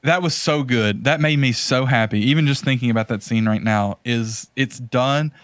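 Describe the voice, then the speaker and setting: A man's voice: mid-range voice, moderate pace, no room sound, clean studio sound. A man hosting a podcast in casual, opinionated film commentary, emphatic and rambling with repeated phrases. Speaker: podcast host.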